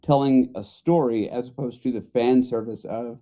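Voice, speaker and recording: A man's voice: mid-range voice, normal speed, dark tone, lo-fi sound. A man co-hosting a podcast, talking casually and giving his opinions. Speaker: podcast co-host. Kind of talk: casual group chat.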